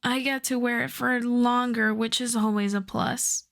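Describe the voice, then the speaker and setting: A woman playing a suave, self-assured female supervillain in a roleplay audio drama, flirting and teasing in an unhurried way. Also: fairly high pitch, fairly even intonation, vocal fry, fairly bright tone. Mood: earnest, flirtatious. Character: suave flirtatious female supervillain courting her costume maker.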